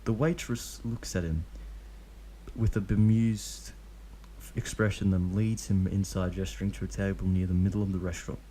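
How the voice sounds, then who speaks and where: Low-voiced man reading a fanfic. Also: steady tone, moderate pace, dark tone, a little hiss or hum. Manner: plain reading with slips and restarts. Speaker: fanfiction reader. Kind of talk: reading fanfiction aloud, then casual commentary.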